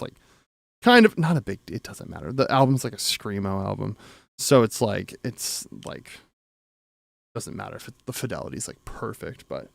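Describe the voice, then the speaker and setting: Creaky-voiced man rambling casually. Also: mid-range voice, no room sound, clean studio sound. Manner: rambling, self-interrupting, swears casually; thinks aloud. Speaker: streamer and audio tinkerer. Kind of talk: casual livestream chat while repairing gear.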